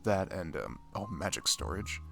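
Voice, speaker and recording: A man's voice: sits low, sing-song, moderate pace, vocal fry, over music, full character voice. A man playing a shy, dorky best friend in an ASMR roleplay, chatting casually in a rambling, stammering, nerdy way. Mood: nerdy, excited. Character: dorky shy young male best friend, playful gamer.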